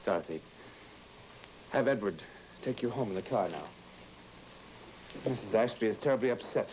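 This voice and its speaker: Casting: man as haunted protective husband, vintage melodrama, lowish voice. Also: lively intonation, moderate pace, a little mellow, lo-fi sound, natural voice. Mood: urgent, placating. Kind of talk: old-time broadcast drama acting. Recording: very noisy old broadcast recording.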